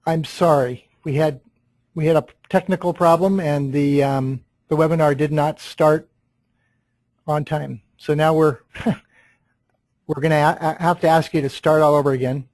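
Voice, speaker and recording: A man's voice: a little high, steady tone, a little slow, darkish tone, no echo. Filler-heavy male webinar host, dry sound. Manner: apologetic, filler-heavy, um-laden. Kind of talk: hosting a live webinar.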